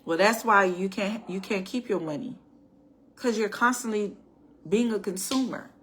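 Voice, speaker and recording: A middle-aged woman with a slightly raspy voice, chatting casually to her viewers in a personal storytime, tossing in "right?" and restarting her sentences. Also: medium pitch, normal speed, a bit bright. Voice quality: raspy at times. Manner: chatty, addresses viewers as y'all, frequent restarts and rhetorical "right?". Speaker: faith and life-planning vlogger.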